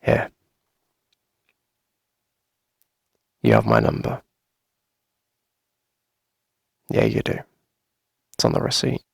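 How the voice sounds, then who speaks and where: Guy acting as shy smitten coffee shop owner, asmr roleplay, really husky and crackly, soft and halting, super close dry sound. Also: extremely low pitch, big pitch swings, dry recording, heavily acted. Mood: flustered, fond. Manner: hesitant, soft-spoken, breathy, nervous little laughs.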